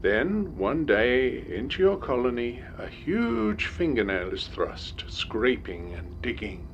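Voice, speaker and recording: An actor playing a grave male scholar, telling an eerie parable in a measured, dramatic audio-drama reading. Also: mid-range voice, big pitch swings, creaky, muffled recording, a little hiss or hum, exaggerated voice. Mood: ominous.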